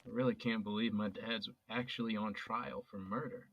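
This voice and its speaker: A man's voice: lowish voice, fairly even intonation, normal speed, highs cut off. A man playing a young adult son with an accused father, bantering with his friend and earnest when it matters. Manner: joking banter that turns earnest. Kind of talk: amateur audio drama acting.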